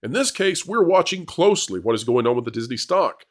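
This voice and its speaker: A man's voice: mid-range voice, sing-song, brisk. A man hosting commentary and panel talk, with an emphatic, wordy presenter's delivery. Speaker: host of an entertainment business news channel.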